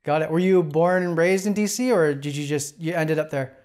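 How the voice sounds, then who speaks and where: Relaxed podcast host, slightly high voice. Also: male voice, moderate pace. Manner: relaxed, filler-heavy, says "got it" often. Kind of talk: casual interview chat.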